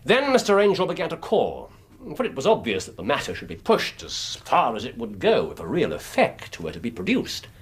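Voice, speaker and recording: An actor playing a shrewd, scornful Victorian detective in a British radio drama, English accent, speaking with precise, theatrical delivery. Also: male voice, medium pitch, huge pitch swings, a little fast, breathy edge. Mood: expounding, dry.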